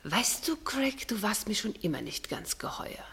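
An actress playing a shrewd, probing woman with an arch, deliberate manner in a German radio drama. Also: mid-range voice, big pitch swings, fairly bright tone, whispered. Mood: taunting, accusing. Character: shrewd, needling woman exposing a man's secrets.

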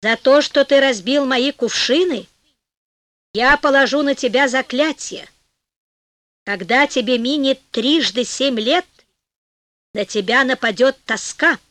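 A woman voicing a spiteful, hunched old witch with a creaky voice, pronouncing a menacing curse in a storybook tale. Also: sits high, fairly bright tone, dry recording.

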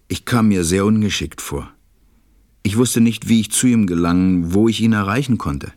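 An actor playing a gentle, reflective storyteller, narrating a storybook radio play. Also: male voice, fairly low pitch, moderate pace, no echo, studio quality, natural voice. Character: reflective adult male narrator recalling a little friend.

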